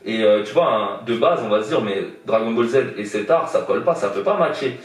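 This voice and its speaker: A young man answers interview questions casually, stalling on fillers and repeating words as he thinks. His voice sits a bit low. The sound is very echoey and distant, with faint music behind. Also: lively intonation, a little fast. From born in Iran, raised in France. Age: young adult. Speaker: guest artist. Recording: echoey room, distant from mic.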